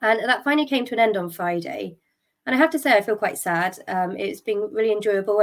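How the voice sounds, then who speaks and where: A middle-aged woman, hosting a solo podcast, a little croaky from a cold, talking openly and a bit wearily, with ums and restarts. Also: mid-range voice. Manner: open and candid, thinking aloud, with ums and restarts; tired and drained. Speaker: podcast host. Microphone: podcast microphone.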